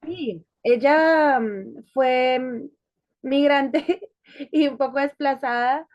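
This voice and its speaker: Woman, higher voice, thoughtful. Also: extremely slow, darkish tone, dry recording, dull audio. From Colombia, Bogotá. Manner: thoughtful, hesitant, many fillers and restarts. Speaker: interview guest. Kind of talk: conversational life-story interview.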